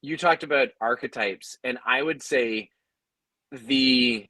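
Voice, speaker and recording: A man's voice: medium pitch, bright, forceful tone, no room sound, rough recording. Thoughtful man with a dry, close sound. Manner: thoughtful, halting, repeats words as they think aloud. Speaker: audio drama creator, panelist. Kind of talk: conversational panel discussion.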